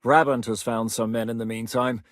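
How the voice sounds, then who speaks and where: A man's voice: mid-range voice, steady tone, moderate pace, dry recording, studio quality, natural voice. An actor voicing a blunt, battle-hardened male rebel commander in a game, giving plain talk and bellowed battle orders. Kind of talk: game voice acting.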